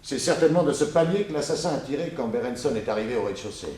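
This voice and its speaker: An actor playing a calm, courteous detective who questions people methodically in a 1960s French radio drama. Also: male voice, mid-range voice, close to an everyday voice. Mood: analytical. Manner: measured, courteous, probing.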